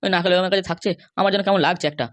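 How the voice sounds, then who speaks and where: Man playing a middle-aged henpecked cartoon husband, very rapid pleading chatter, West Bengal accent, dry sound. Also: sits high, little pitch movement, a bit bright, dry recording. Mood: earnest. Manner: wheedling, grumbling. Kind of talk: comic cartoon dialogue.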